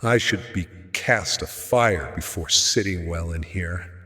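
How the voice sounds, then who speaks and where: An actor playing a guilt-ridden, despairing friar in an audio drama, grave and halting. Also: male voice, sits low, bright, forceful tone. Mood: bitter self-reproach. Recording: long reverb, music underneath. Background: music.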